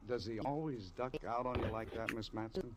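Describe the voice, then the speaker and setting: An actor playing a polite, courteous young army corporal in a 1950s radio drama, speaking softly. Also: male voice, mid-range voice, steady tone, fairly quick, close to an everyday voice. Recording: crackly old radio recording full of clicks.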